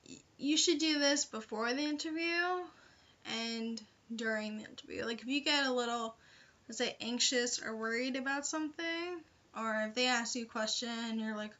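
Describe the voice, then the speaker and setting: A woman's voice: on the high side, moderate pace, sharp tone, highs cut off. A young woman vlogger giving casual, chatty advice in an informal, hedging way with lots of filler phrases, on a home recording. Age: young adult. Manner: informal, hedging, frequent "like" and "you know". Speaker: vlogger giving interview tips.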